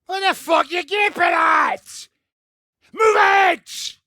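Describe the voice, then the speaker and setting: An actor playing a foul-mouthed, swaggering mercenary gang leader in a video game, brash and sardonic, with a raspy, gravelly voice. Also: male voice, extremely high pitch, slow, pushed and bright, dry recording, shouting, studio quality, some chatter behind, exaggerated voice. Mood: furious shouting. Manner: brash, sardonic, commanding, swearing freely. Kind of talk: game dialogue, coarse medieval banter and battle shouts.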